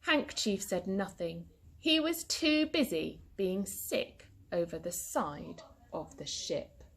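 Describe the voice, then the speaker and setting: Animated woman reading a children's story slowly. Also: medium pitch. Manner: animated, deliberate. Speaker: primary school teacher reading aloud.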